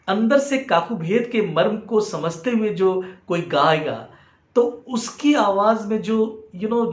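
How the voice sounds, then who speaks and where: An Indian man giving an explanatory music lesson in a halting, reflective way, dropping English phrases into his talk. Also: high voice. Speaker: music teacher. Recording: roomy, echoing sound.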